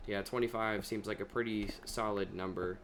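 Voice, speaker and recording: A young man chatting casually over his gameplay, his voice deeper than usual and talking to himself as he plays. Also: steady tone, moderate pace, a bit bright, a little hiss or hum. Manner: chatty, talks to himself while playing. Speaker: gaming video creator.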